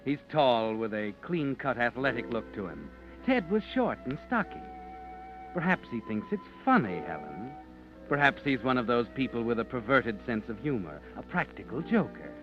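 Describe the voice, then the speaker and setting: A man's voice: mid-range voice, sing-song, normal speed. A man narrating in the second person, insinuating and sardonic, in a 1940s radio drama. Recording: dull vintage radio recording with music.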